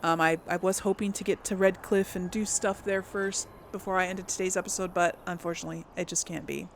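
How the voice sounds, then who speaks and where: A woman's voice: on the low side, fairly even intonation, a little fast, a little hiss or hum. A woman giving chatty, informal let's play commentary as a gaming channel host, talking directly to her viewers.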